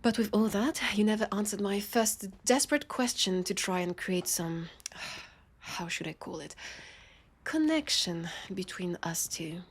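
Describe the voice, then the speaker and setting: A woman playing a teasing, haughty vampire detective with a French accent, in an intimate roleplay audio drama, her dry playful lines spaced with lingering pauses. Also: mid-range voice, expressive. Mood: curious, wry. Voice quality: husky, breathy with creaky edges. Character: teasing, haughty female vampire detective, sardonic new partner.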